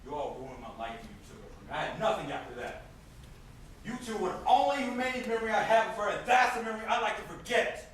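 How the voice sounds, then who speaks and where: A man's voice: high voice, expressive, quick pace, a bit bright. A distant, heavily reverberant stage recording with faint noise carries a vengeful killer, acted by a man. He is theatrical, gloating and self-pitying, goading whoever he faces with bitter taunts. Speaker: stage play actor. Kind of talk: amateur stage drama acting. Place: indoor stage, recorded from far off.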